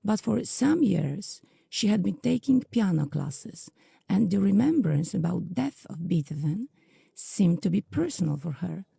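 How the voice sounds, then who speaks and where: A woman narrates a scripted story in a very dark, soft tone. Her voice sits fairly low and moves expressively, with heavy vocal fry. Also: very animated, a little slow, no echo. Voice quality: very husky, creaky, soft.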